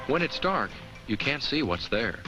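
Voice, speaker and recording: An actor voicing a friendly, caring talking jack-o'-lantern who narrates a children's safety film in simple, instructive words addressed straight to kids. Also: male voice, medium pitch, sing-song, unhurried, over music, rough recording. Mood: caring, cautionary. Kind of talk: scripted educational narration for children.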